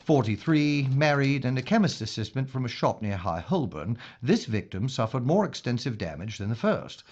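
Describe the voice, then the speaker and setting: Casting: male actor as wry, formal police inspector for radio play; lively intonation, muffled noisy recording. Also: mid-range voice. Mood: grave briefing. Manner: measured, formal, clinical. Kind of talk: audio drama acting.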